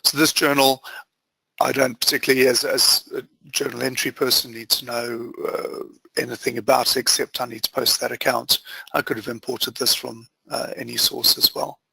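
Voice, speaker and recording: A man with a bright, forceful voice and a gravelly edge leads a software walkthrough. He explains each step methodically in short phrases, like a trainer on a screen-share. The sound is close and dry. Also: medium pitch, level tone, moderate pace, no echo, rough recording. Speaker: software trainer presenting a webinar demo.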